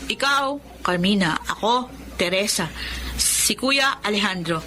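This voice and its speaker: A woman with a Filipino accent playing a cheeky, excitable younger sister in a radio drama. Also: mid-range voice, unhurried, background noise, lightly acted. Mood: teasing.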